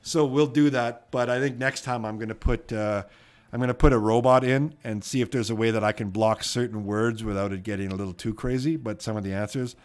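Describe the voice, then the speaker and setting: A man's voice: mid-range voice, steady tone, a little fast. A man from Edmonton with a Canadian accent, hosting a trivia live stream in a loose, rambling way and reading out viewer comments. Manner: chatty and rambling, many fillers and "you know what".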